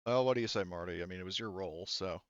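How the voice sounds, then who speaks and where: A man's voice: low voice, fast talker, a bit bright, no room sound, dull audio. A man acting as game master, casually thinking aloud as he narrates dice rolls and rulings.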